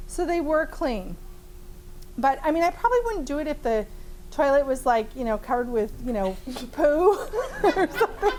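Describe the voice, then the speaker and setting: A woman with a big, bright, high voice runs a live training session for fellow therapists. She talks in a chatty, lively way, full of fillers and easy laughter. The recording is made in a training room, with a faint hum of ambience behind her. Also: moderate pace, poor audio. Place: training room with a small audience.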